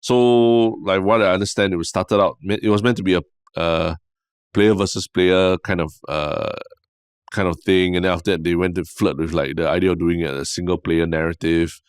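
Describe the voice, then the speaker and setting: A young man with a Singaporean accent, talking through ideas in a measured, explanatory way in casual podcast chat. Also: deep voice, steady tone, clean tone, dry recording, clean studio sound. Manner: measured, explanatory, long rambling sentences. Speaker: podcast co-host.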